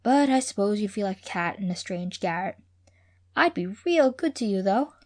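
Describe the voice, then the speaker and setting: A woman's voice: medium pitch, animated, normal speed, natural voice. A woman voicing an affectionate young girl who talks eagerly and effusively. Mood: wistful.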